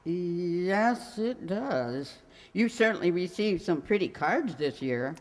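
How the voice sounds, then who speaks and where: A woman's voice: lowish voice, animated, slow pace, darkish tone. A woman playing a sweet, forgetful elderly landlady who dithers and rambles in a radio comedy. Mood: kindly. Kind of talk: radio comedy acting, old-time sitcom revival. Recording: very reverberant hall sound.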